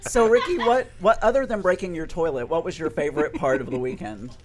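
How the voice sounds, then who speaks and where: A man in his forties with a Southern accent, hosting a casual podcast, chatty and animated, laughing often and restarting his sentences. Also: fairly high pitch, a little fast. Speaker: podcast host. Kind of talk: casual chat.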